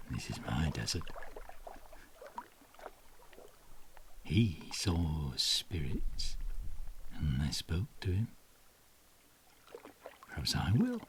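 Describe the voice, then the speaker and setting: An actor playing a guilt-ridden, grieving fisherman disciple in hiding, in a halting dramatic monologue that moves between whispers and shouts. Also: very deep voice, creaky, slight background noise, full character voice. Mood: wistful, brooding.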